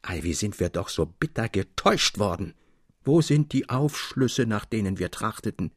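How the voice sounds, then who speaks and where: A man's voice: lowish voice, animated, moderate pace, no echo, studio quality, voice pushed down. A man reading the part of an earnest, indignant music lover in a literary tale, with exclamatory, rhetorical phrasing. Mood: indignant, impassioned. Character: Ludwig, earnest, indignant young Romantic man passionate about music.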